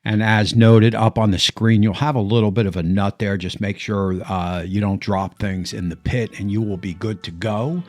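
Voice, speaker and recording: Man, lowish voice, casual how-to vlog host, chatty, lots of uh and restarts, outdoors by a grill. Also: steady tone, normal speed, hardly any pauses, a little mellow, dry recording. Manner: chatty, many fillers and restarts. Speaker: BBQ channel host. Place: outdoors at a backyard smoker.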